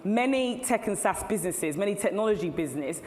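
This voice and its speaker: A woman's voice: mid-range voice, expressive, fairly quick, darkish tone, slight background noise. A middle-aged woman giving a chatty keynote talk to a live audience, laughing at her own asides. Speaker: keynote speaker. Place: large hall at a tech event. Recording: long hall reverb, faint ambience.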